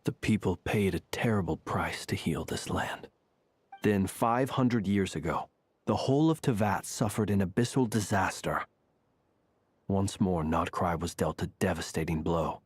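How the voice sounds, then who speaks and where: Low, husky, courteous ageless gentleman. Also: no echo. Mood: solemn. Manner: measured, formal, stately. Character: centuries-old gentleman, courteous, solemn and world-weary. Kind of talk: video game character acting.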